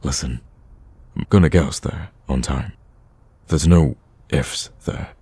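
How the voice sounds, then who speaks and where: Man acting a very deep, husky smitten villain. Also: measured pace, warm tone, full character voice. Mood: earnest, reassuring. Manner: casual, conversational, stammers when flustered. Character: smitten male villain, eager, flustered boyfriend on a date. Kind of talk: romantic ASMR roleplay.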